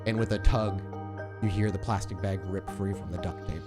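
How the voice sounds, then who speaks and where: Low-voiced man narrating over music. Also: fairly even intonation, normal speed. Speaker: game master. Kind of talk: narrating a tabletop roleplaying game.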